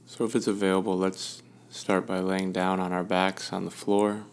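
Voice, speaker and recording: Male meditation guide, deep and even-toned, smooth with a creaky edge, soothing, leaving space between phrases. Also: normal speed. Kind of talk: guided meditation, gentle instruction.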